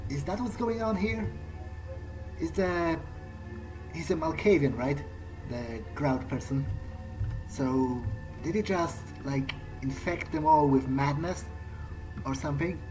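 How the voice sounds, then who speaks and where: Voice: mid-range voice, animated, takes it slowly, pauses often, rough recording. A game player voicing a jittery, exasperated young vampire in an improvised in-character playthrough, muttering, repeating words and swearing mildly. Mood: bewildered. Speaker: let's player playing in character. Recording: game soundtrack music behind the voice.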